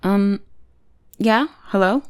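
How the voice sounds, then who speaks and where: A woman's voice: mid-range voice, slow pace, darkish tone, lightly acted. A young woman playing a teasing, possessive goth bully who is secretly smitten, in an ASMR roleplay. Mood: sweetly polite. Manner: playful, taunting, drops into breathy whispers.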